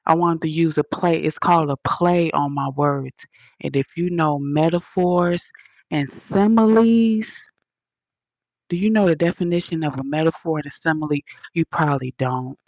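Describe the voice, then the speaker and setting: A woman's voice: sits low, normal speed, dry recording, lo-fi sound. A woman with a Southern accent hosting a livestream, lecturing her chat in an indignant, emphatic way. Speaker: livestream host reading chat. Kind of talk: casual livestream rant.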